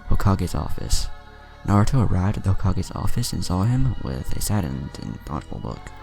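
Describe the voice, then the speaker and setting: Deep gravelly male storyteller. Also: very animated, moderate pace. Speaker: fan fiction reader. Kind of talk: storytelling narration. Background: soft background music.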